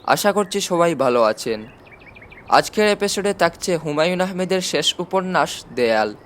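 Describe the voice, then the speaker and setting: A man's voice: sits high, slight background noise. A man reading a novel aloud as a scripted audiobook narration. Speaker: audiobook narrator and channel host.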